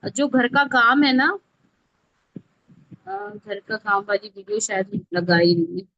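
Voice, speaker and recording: A woman's voice: fairly high pitch, very animated, slow pace, vocal fry, no room sound. An Indian woman giving an informal live step-by-step tutorial as an online instructor, checking in with her learners as she goes. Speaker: online instructor teaching mobile video editing. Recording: dull, lo-fi, close dry audio.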